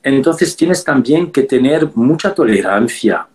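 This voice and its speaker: A man answering interview questions as an actor, thoughtful and halting, often pausing and restarting his sentences. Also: medium pitch, animated, darkish tone.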